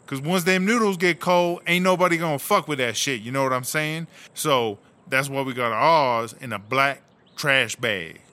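Man as a sassy, bright-voiced woman inmate. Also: medium pitch, moderate pace, lightly acted. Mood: explanatory, relaxed. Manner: slangy, emphatic, self-promoting. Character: sassy, bossy, hustling female inmate cook. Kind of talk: comedic audio drama.

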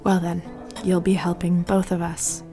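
A woman's voice: on the low side, level tone, a little slow, mellow, faint music behind, close to an everyday voice. A woman playing a fearful, drunken, unhappy wife who pleads in an audio drama. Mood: pleading, secretive.

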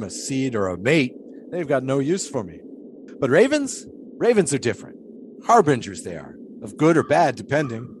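A man's voice: medium pitch, slight background noise, close to an everyday voice. A man playing an eccentric, devout 1920s pole-sitter in an audio drama, musing in folksy, old-fashioned storytelling phrases. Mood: musing, wry.

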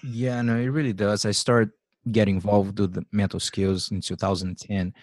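A man's voice: on the low side, little pitch movement, moderate pace, a little mellow, no room sound. Easygoing man in his forties, dry sound. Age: 40s. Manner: loose and rambling, laughs often, acts out his own thoughts. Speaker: podcast host. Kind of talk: casual interview conversation.

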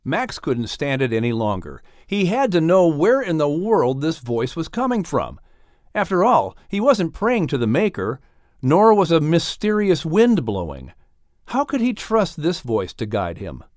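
A man narrating a children's audiobook in an expressive, dramatic and carefully phrased storytelling style. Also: fairly high pitch, a little slow, dry recording, studio quality.

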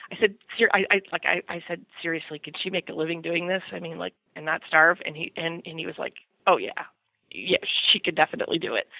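A woman's voice: mid-range voice, gravelly, no echo, narrow, tinny audio. A middle-aged woman telling personal stories casually on a podcast, candid and self-deprecating, over thin call audio. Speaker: podcast segment host.

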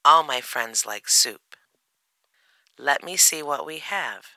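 Narrator playing a little boy bear, cheerful, eager, very bright, slow storybook delivery. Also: medium pitch, expressive, dry recording, full character voice. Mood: eager, planning. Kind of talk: children's storybook character reading.

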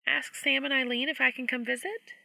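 A woman playing an eager, higher-voiced young woman. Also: steady tone, fairly quick, vocal fry, pushed and bright, no room sound, full character voice, rough recording. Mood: subdued, cautious. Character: eager young woman, excitable, then cautious and subdued.

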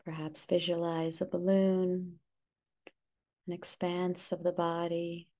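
A woman guides a meditation at a very slow pace. Her voice is soft-edged and dark, with very little movement in pitch. She gives calm, gentle instructions in short phrases with space between them.

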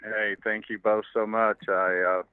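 An older man with a hoarse, worn voice, talking in a halting, rambling way as an interview guest while driving. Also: fairly low pitch, little pitch movement, no echo. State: hoarse from losing his voice. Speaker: guest, racehorse owner. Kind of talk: casual phone interview. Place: car, driving. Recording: tinny phone line, dry.

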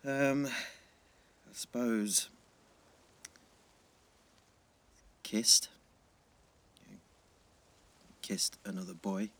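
A man's voice: lowish voice, takes it slowly, a bit bright. An actor playing a shy, earnest teenage schoolboy, hesitant and flustered, repeating his words in a naturalistic audio drama. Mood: guarded, awkward. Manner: hesitant, stammering repetitions, flustered.

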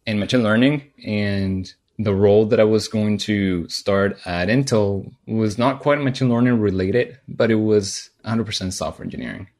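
A man, a software engineer, speaking candidly and confidently as a podcast guest telling his career story. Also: on the low side, normal speed, few pauses. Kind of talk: podcast interview.

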